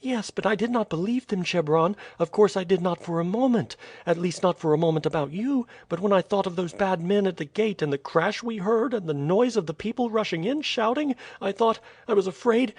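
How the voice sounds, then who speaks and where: A man voicing an anxious young girl, high-pitched. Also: fairly quick, hardly any pauses, darkish tone, breathy edge, no room sound. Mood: distressed. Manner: pleading, emotional. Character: young noble girl, frightened and tender. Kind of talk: audiobook reading.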